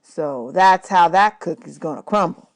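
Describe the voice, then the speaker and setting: A woman voicing a gruff, grudging older bandleader in a storybook, slow, emphatic and grumbling. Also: on the low side.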